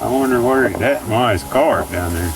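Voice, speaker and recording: A man's voice: medium pitch, expressive, normal speed. A man, a police officer at a roadside scene, talking in a plain, matter-of-fact way. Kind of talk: on-scene talk with a fellow officer and a phone call. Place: outdoors at a roadside creek. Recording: noisy field recording.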